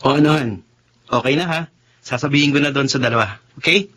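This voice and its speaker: An actor playing a brooding young boyfriend in a Tagalog radio drama, earnest and a little hesitant. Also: male voice, mid-range voice, brisk, a bit bright, lightly acted. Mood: agreeable.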